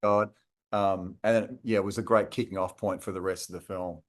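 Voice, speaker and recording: Male actor, lively junket answers, lowish voice. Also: normal speed. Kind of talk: press junket interview.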